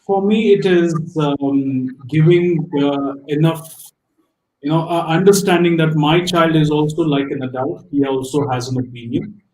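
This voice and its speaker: A man speaking as a parent on an unscripted panel, halting and repeating points, in an echoey room. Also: fairly high pitch, a little mellow.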